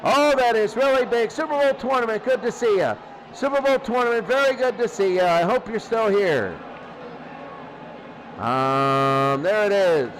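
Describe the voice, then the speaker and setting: A man doing excitable live football play-by-play in a radio style, with conversational asides between plays. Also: high-pitched, unhurried, a little hiss or hum, rough recording. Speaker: play-by-play commentator of a simulated football tournament.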